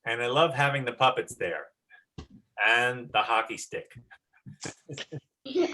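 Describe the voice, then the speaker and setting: A woman's voice: rumbling low, lively intonation, slow, pushed and bright, poor audio. A woman hosting a group session over a video call, talking casually as a teacher. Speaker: host and teacher of an online children's drama session.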